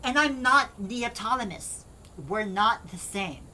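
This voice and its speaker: A woman's voice: on the high side, expressive, bright, forceful tone, a little hiss or hum, heavily acted. A woman playing an earnest, hesitant young man in an audio drama. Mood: defensive. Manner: hesitant, slow.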